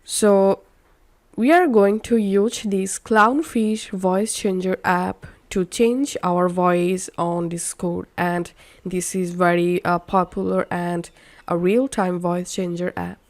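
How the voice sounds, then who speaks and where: A woman narrating a how-to software tutorial as its host, instructional with slightly halting phrasing. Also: lowish voice, steady tone. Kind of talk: step-by-step software tutorial voice-over.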